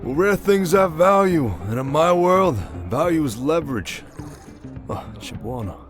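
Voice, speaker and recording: A man's voice: a little high, expressive, unhurried, faint music behind. A man playing a jovial, sardonic mob boss in an ASMR audio drama, talking in casual slang with joking swagger. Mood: teasing, probing. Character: brash streetwise male mafia boss, jovial, sardonic.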